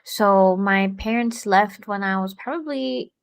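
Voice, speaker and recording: Host, young woman from Bulgaria, chatty and animated, mid-pitched voice. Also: measured pace, smooth. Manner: animated storytelling with frequent fillers. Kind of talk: casual conversation. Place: home in a small town.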